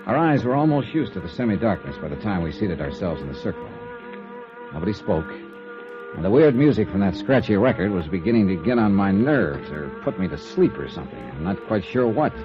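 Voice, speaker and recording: An old, muffled radio noir with music behind features a hard-boiled investigator. The low voice tells the case with dry, sardonic skepticism and lively swings of pitch. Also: male voice, brisk. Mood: uneasy, building suspense. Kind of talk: 1950s radio noir narration. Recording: dull vintage radio recording with music behind.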